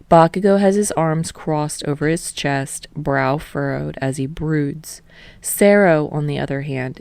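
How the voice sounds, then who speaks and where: Low, gravelly woman narrating. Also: no echo. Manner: calm, steady narration. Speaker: podfic reader. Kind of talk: reading fan fiction aloud. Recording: dry close home recording.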